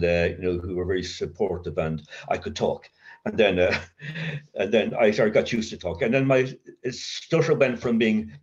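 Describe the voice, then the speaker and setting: An Irish man sharing his recovery story, halting, with stammer blocks and many restarts. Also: low-pitched, dull audio. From Ireland. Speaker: speaker at a recovery meeting. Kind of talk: personal recovery share.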